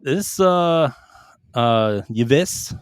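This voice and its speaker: A man co-hosting a casual gaming podcast, reading headlines aloud with quick asides and stumbling over foreign names. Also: medium pitch, animated, clear, resonant tone, no room sound, clean studio sound. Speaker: podcast co-host reading news.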